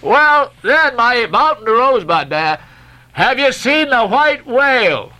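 A man voicing a brash, jolly sailor who bawls and teases loudly. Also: extremely high pitch, very animated, unhurried, voice pushed up, exaggerated voice, low-quality recording. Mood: bawling mockery. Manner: loud bawling, teasing.

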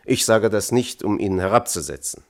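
An actor playing a thoughtful, conscience-troubled physicist testifying as a witness in a German radio play, measured and candid. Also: male voice, fairly low pitch, lively intonation, gravelly.

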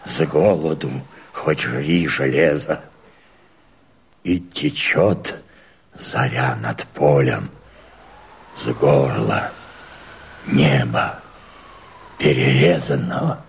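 Radio play: old peasant Cossack, bottom-register husky voice, very slow declamation, bitter and defiant. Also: male voice, rumbling low, full character voice. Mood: bitter lament. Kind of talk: dramatic verse recitation in a radio play.

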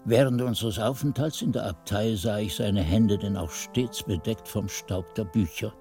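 An older male actor playing an aged monk who narrates memories of his youth in a measured, literary radio play style. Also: lowish voice, warm tone, lightly acted. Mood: reflective. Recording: 1980s radio play with faint music.

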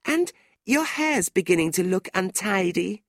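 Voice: medium pitch, sing-song, normal speed, a little mellow, dry recording, studio quality, pitched above the performer's own voice. A narrator voicing a sly, spirited young woman in a storybook, with emphatic, swooping speech. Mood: smug.

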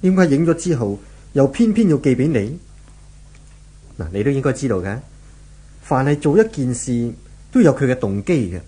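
A stern police inspector with a husky voice and a Hong Kong Cantonese accent. He speaks in a firm, methodical, commanding way, as in a 1970s radio drama. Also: mid-range voice, big pitch swings, normal speed, long pauses, dark tone. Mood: measured, firm. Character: authoritative, methodical male police inspector leading raids.

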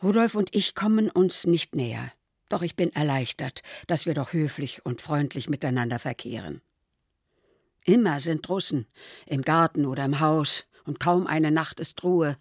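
A woman playing an anxious elderly mother, reading diary entries in a quiet, measured way. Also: sits low, husky, dry recording, studio quality. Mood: worried.

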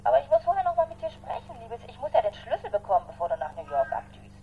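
A woman's voice: sits high, big pitch swings, fast talker, mellow, a little hiss or hum. An actress playing a chatty, effusive woman leaving a phone message in a radio drama. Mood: bubbly, pleading.

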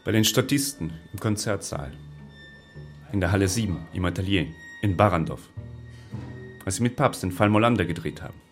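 Fed-up radio editor, deep voice, blunt and clipped, radio play. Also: male voice, lively intonation, normal speed, pauses often, soft background music. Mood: earnest. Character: curt, disillusioned radio editor, a man fed up with work. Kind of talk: radio drama acting.

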